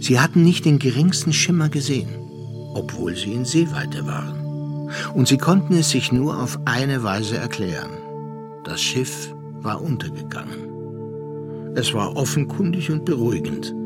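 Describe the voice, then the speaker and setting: Besonnener Erzähler, lebhafte Sprachmelodie, Musik darunter. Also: male voice, medium pitch. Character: measured, thoughtful male narrator and listening confidant. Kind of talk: literary radio drama narration.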